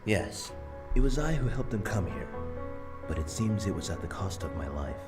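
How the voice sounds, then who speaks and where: An actor playing a gentle, regretful grandfather's spirit in a visual novel game, earnest and tender, with music behind. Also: deep voice, very animated. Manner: earnest, tender, measured explaining.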